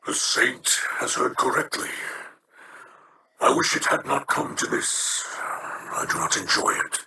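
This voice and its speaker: A proud alien pirate lord speaks slowly in a gravelly, sharply bright voice. The game recording sounds roomy and set back from the mic. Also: male voice, mid-range voice, recorded from a distance. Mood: brooding.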